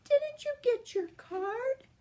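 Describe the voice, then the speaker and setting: A grandmother voicing a tiny, naughty female fairy in a squeaky, sassy voice while reading a storybook aloud. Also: expressive, takes it slowly, dark tone, exaggerated voice. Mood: surprised.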